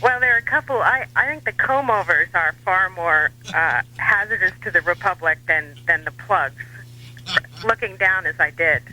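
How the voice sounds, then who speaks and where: An American man giving political commentary as a radio guest, wry and dryly humorous, with measured hesitations. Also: sits high, animated, sharp tone, poor audio. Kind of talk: talk radio interview.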